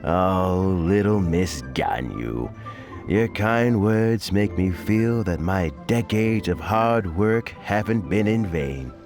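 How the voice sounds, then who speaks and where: An actor playing a genial, wordy old official in a video game, rambling with gentle, hesitant courtesy. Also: male voice, low voice, expressive, slow, over music, full character voice. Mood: warm, apologetic. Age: older.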